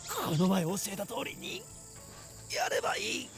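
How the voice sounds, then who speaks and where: An actor playing a bossy, grandiose young mad scientist in Japanese anime visual novel style, pompous and melodramatic. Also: male voice, high-pitched, wildly swooping pitch, brisk, creaky, a bit bright, soft background music, heavily acted. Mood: mock agony.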